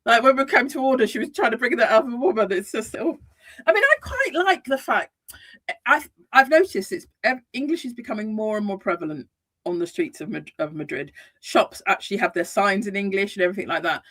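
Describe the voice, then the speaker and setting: A British-born woman talks through a relaxed interview, her voice swinging widely up and down as she speaks. She restarts sentences often and laughs easily, with a middle-pitched voice. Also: brisk. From United Kingdom. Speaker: guest, psychotherapist and life coach. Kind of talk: casual conversational interview. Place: Madrid, Spain.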